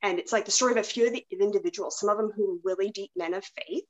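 A woman's voice: mid-range voice, rapid-fire, highs cut off. A woman hosting a podcast, chatting casually and telling anecdotes in a rambling way. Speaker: podcast host. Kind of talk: casual chat.

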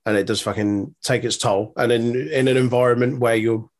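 A man co-hosting a podcast in loose, laid-back chat. His voice sits at an ordinary middle pitch, and he swears easily while reading out news items. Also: steady tone, fairly quick. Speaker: podcast co-host.

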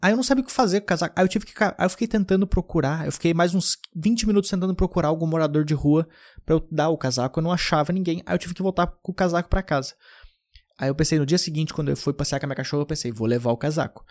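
A young man from southern Brazil chats on his podcast, drifting from one anecdote to the next. His voice sits fairly high for a man, and he keeps restarting his sentences in an easygoing, rambling way. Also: rapid-fire, a little mellow. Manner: rambling, loose anecdotes with many restarts and filler. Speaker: podcast host. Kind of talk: casual storytelling chat.

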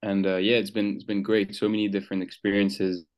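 A young man from Switzerland speaks in a very low, even tone, hardly moving his pitch. His voice is smooth and clear. He talks hesitantly, with frequent ums and restarts, as a guest being interviewed. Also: a little fast. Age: young adult. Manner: hesitant, many fillers and restarts. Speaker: guest, soccer player. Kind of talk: casual interview.

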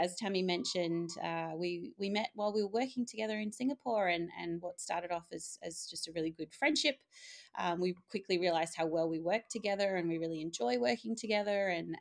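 A woman's voice: fairly low pitch, creaky. A woman from Australia with an Australian accent, a guest and co-author on a video call, often repeating words as she starts a thought. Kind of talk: casual interview talk.

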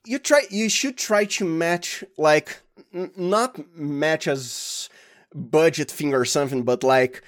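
Brazilian male guest, Portuguese native, slightly high voice, halting conversational delivery with frequent restarts. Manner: halting, restarts phrases often, chuckles. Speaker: sound designer, interview guest. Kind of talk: casual interview chat.

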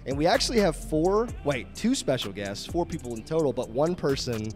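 A man's voice: fairly high pitch, very animated, normal speed, a little mellow, soft background music. A man hosting a fishing podcast, joking with guests and stumbling through a sponsor read. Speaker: podcast host. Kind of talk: casual podcast chat. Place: indoor studio room.

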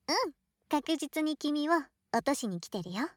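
A woman playing an affectionate, teasing young girl best friend in an ASMR roleplay, playful and breathy, often slipping into whispers close to the microphone. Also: sits high, no room sound, full character voice. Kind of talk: Japanese ASMR situation voice drama, close intimate roleplay. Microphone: close ear-to-ear ASMR microphone.

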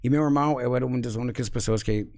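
Native English-speaking man in his forties, laid-back guest who laughs easily, medium pitch. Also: rapid-fire. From United States. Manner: relaxed, frequent "sabe?" tags, laughs often. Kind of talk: casual conversation.

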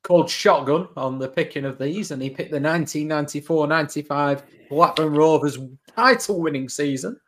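A man's voice: a little high, a little fast, few pauses, fairly bright tone, a little hiss or hum. A man co-hosting a casual podcast, asking quiz questions with dry jokes. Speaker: podcast co-host.

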